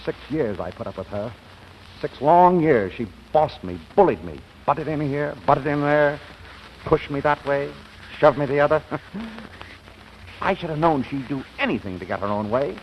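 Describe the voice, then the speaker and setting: Exasperated businessman, highish voice, animated pitch, indignant, emphatic, vintage radio comedy. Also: a little slow. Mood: aggrieved, ranting. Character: hot-tempered, exasperated businessman in romantic comedy. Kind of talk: 1940s radio comedy acting. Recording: muffled, hissy old radio recording.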